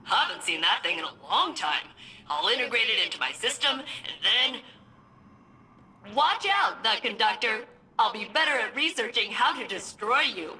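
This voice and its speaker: A woman's voice: a little high, expressive, normal speed, pushed and bright, slight background noise, poor audio. A woman playing a chatty, sardonic female artificial intelligence aboard a ship, giving mission briefings in a video game. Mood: playfully boastful.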